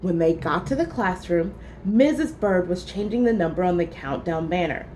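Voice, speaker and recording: A woman storyteller reading a children's book aloud, expressive and clearly phrased. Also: fairly low pitch, normal speed, slight background noise.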